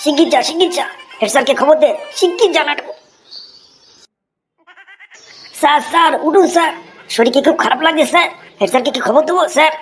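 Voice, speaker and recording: High-pitched cheeky schoolboy, played by a woman. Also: racing, lightly acted. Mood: alarmed, concerned. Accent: Bengali. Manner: pleading, deferential, reciting answers like a pupil. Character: cheeky, earnest schoolboy pupil in comedy cartoon. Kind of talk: comic cartoon voice acting. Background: music.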